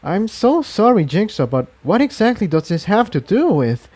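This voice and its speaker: A man's voice: high-pitched, sing-song, moderate pace, darkish tone, husky, pitched above the performer's own voice, heavily acted. A man voicing an anxious, self-doubting female pony in a storybook reading, speaking earnestly and fretfully. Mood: anxious, apologetic.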